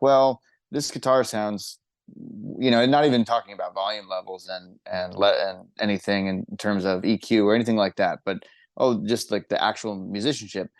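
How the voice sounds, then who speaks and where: A man's voice: on the low side, no room sound. A man who sings and writes songs for a band, talking casually about making music in a podcast interview. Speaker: singer and songwriter in a band.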